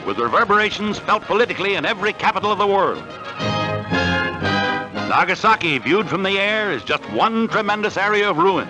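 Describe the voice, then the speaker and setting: A man's voice: high-pitched, very animated, brisk, rough recording. An American man narrating a 1940s newsreel in a dramatic, declamatory scripted style on a vintage soundtrack. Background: loud music.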